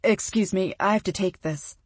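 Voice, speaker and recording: A woman voicing a blunt businessman who talks in a hushed, gruff way. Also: mid-range voice, moderate pace, dry recording, natural voice. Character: brusque businessman, blunt and profane.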